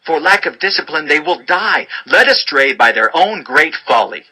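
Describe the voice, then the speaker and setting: A man's voice: on the high side, lively intonation, moderate pace, bright, forceful tone, poor audio. A man giving a solemn, fatherly dramatized reading of scripture as narrator, instructing as he goes.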